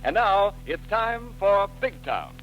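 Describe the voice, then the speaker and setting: Man, booming formal announcer, dull 1930s radio, loud orchestra behind. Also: big pitch swings, takes it slowly, loud music behind the voice. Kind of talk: 1930s radio drama opening.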